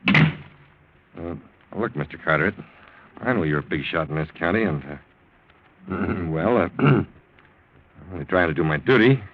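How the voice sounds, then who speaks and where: A male actor playing a probing, deceptively polite police lieutenant in a 1940s radio drama, asking questions in a measured, hesitant way. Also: deep voice, expressive, slight background noise. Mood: hesitant, deferential. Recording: dull old 1940s radio recording.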